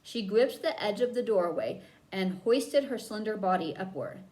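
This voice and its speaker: Expressive woman reading a children's story. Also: medium pitch, rough recording. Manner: dramatic emphasis, drawn-out key words. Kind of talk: expressive read-aloud narration for children.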